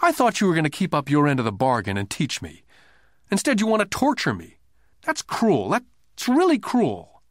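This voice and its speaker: A man's voice: a little high, huge pitch swings, normal speed, dry recording, clean studio sound, pitched above the performer's own voice. A man voicing an indignant, tearful nine-year-old boy in an audiobook, blurting out accusations and pleas.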